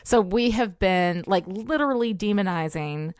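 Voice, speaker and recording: A woman's voice: medium pitch, expressive, normal speed, a little mellow, clear, resonant tone, no room sound, clean studio sound. A woman co-hosting a podcast in casual, analytical discussion, often checking in with "right?" as she talks. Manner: conversational, frequent "you know" and "right?" tags. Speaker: podcast co-host.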